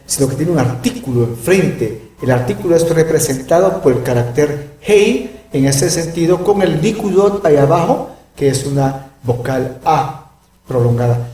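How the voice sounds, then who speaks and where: Emphatic Bible teacher, echoey room. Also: male voice, fairly high pitch, animated, moderate pace, warm tone, recorded from a distance. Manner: emphatic, peppers speech with "¿verdad?". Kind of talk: recorded Bible lesson. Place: room with closed blinds.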